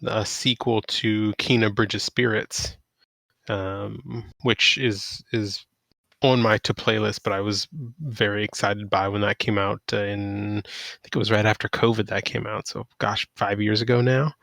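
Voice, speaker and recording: A man's voice: fairly low pitch, flat delivery, a little slow, gravelly, a bit bright, no room sound. A man co-hosting a podcast in casual talk about video games. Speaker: podcast co-host.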